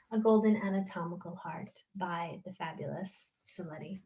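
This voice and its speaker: A woman with a Long Island accent hosting a casual podcast chat, animated and quick to laugh. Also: lowish voice. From United States. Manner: animated, laughs often, talks in quick bursts. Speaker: podcast host.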